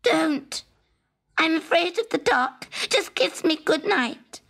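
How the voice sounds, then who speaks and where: A woman voicing a small green frog in a storybook, croaky, plaintive and persistently pleading. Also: very high-pitched, lively intonation, slow pace, a bit bright, voice pushed up, exaggerated voice. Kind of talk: storybook character acting.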